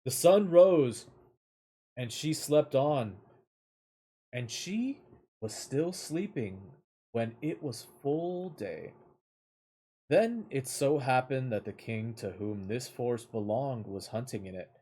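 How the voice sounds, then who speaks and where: Slow-reading man telling a fairy tale. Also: mid-range voice, lively intonation, warm tone, breathy edge, rough recording. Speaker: amateur storyteller, streamer.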